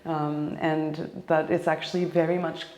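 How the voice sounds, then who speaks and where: A young woman from Japan and Hawaii, talking casually and reflectively in an interview, pausing often as she thinks. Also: deep voice, little pitch movement, darkish tone, slight background noise. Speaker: classical cellist being interviewed. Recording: echoey, reverberant room.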